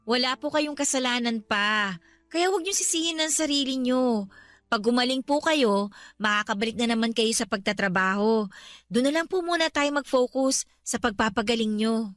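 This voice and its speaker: An actress playing an earnest young adult daughter, protective and pleading, respectful but firm, in a radio drama. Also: female voice, fairly high pitch, moderate pace, fairly bright tone, no room sound, soft background music, close to an everyday voice. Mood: concerned, comforting. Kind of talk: Tagalog radio drama acting.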